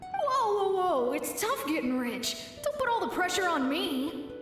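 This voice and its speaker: A woman performs a cocky, generous young boy in a video game. His voice is very high and comes from afar with heavy echo. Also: sing-song, measured pace, over music, heavily acted. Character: cocky, generous little boy dreaming of riches. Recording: echoey hall, distant, reverberant.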